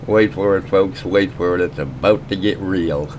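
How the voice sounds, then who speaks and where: A man with a very low, deep voice gives mocking commentary over footage. His asides are scornful and sarcastic. Traffic and street noise sit clearly behind him. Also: moderate pace, muffled recording. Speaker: video commentator.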